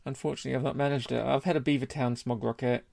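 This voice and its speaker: A man's voice: mid-range voice, fairly even intonation, racing, gravelly, darkish tone. A British man co-hosting a casual beer podcast in a blokey, informal, sweary way. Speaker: podcast co-host.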